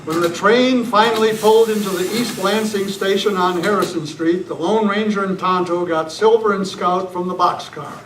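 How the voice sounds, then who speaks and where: High-voiced male old-time radio announcer. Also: steady tone, normal speed, few pauses. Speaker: narrator and announcer. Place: club meeting room, live performance. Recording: echoey noisy meeting room, distant mic.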